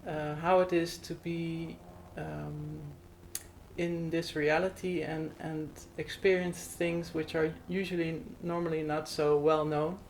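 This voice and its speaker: Dutch lady hosting, monotone, gravelly, lots of uhs, faint hiss behind her. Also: low-pitched, takes it slowly, low-quality recording. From Netherlands. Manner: hesitant, with many fillers. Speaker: host. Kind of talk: conversational interview. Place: Netherlands.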